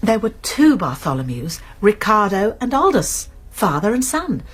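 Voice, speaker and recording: A woman's voice: medium pitch, very animated, unhurried, husky, faint music behind. An actress playing a shrewd British woman amateur sleuth in a radio drama, explaining things precisely and earnestly with small stammers.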